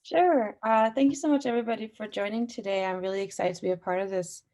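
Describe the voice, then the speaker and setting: Young female panelist, native Farsi speaker, mid-pitched, reflective with frequent pauses and fillers. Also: dark tone. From Austria. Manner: thoughtful, many fillers. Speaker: guest artist. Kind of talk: panel discussion.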